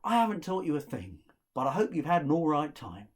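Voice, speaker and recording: A storyteller acts out a mischievous man of the road from a folktale, offhand and a little bumbling. His speech is casual, with big swings in pitch. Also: very animated, brisk, breathy edge. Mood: genial.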